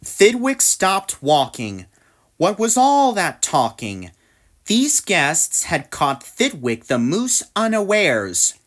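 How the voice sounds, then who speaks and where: A woman reading a rhyming children's storybook aloud in an expressive narrating style. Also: on the low side, takes it slowly. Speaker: storybook reader.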